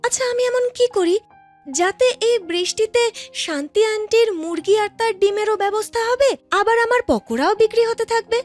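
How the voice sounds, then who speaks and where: A woman's voice: very high voice, expressive, normal speed, voice pushed up. A woman voicing a kind, eager young orphan woman who sells fritters, in an earnest sing-song for a cartoon story. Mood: musing. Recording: music behind the voice.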